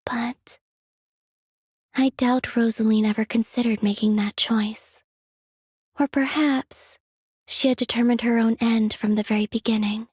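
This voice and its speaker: An actress voicing a gentle, aloof young woman in a video game, with a soft breathy voice and unhurried, wistful, quietly curious delivery. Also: on the high side, steady tone, no echo, studio quality. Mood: dreamy musing. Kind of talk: scripted game character voice lines.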